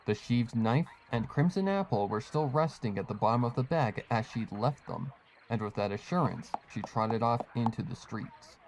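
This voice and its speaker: A man with a mid-pitched voice narrates a scripted horror tale. He reads as a storyteller, setting out scenes and characters in prose. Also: lively intonation, moderate pace, darkish tone, slight background noise, rough recording.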